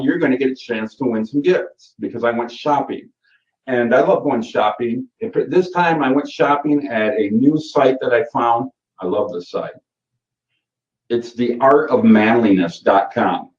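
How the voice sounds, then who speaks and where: Rambling Michigan man, roomy distant sound. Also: medium pitch. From Michigan, USA. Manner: rambling and folksy, with frequent fillers and self-corrections. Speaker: course host. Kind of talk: casual live Q&A session. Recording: roomy, distant and muffled webinar audio.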